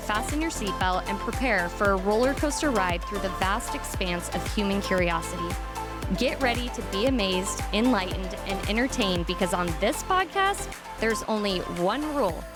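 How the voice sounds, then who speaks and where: An upbeat woman hosts a podcast, moving from a scripted intro into relaxed talk with her guest. She laughs often and gives quick agreeing replies. Her pitch sits in the middle range, and clear music plays behind her voice. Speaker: podcast host. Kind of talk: scripted intro, then casual chat.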